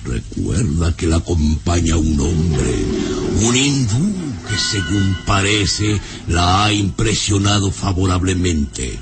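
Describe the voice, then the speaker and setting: A man's voice: low-pitched, lively intonation, takes it slowly, over music, heavily acted. A man playing an imperious, scornful baron and father in a theatrical, haughty, commanding radio serial style. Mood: menacing, measured. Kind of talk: old radio serial acting.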